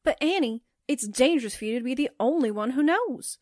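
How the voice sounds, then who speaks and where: A woman's voice: sits high, animated, fairly quick, darkish tone, no echo, studio quality. A woman playing a perky, anxious newspaper sidekick in a 1940s-style radio drama. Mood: worried protest. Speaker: voice actor.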